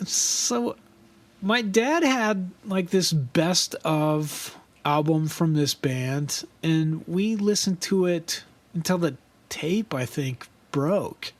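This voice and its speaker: A man with a high voice, co-hosting a podcast in a casual chat and telling stories with lots of laughter. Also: takes it slowly, dry recording. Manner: animated, laughs often, trails off and restarts. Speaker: podcast co-host.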